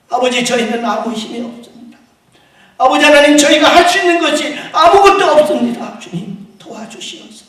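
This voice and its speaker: A man leading a fervent public prayer at a church service, calling out to God over and over and swinging from loud pleas to hushed ones. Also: high-pitched. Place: large echoey church hall. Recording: very echoey hall, heard from far off.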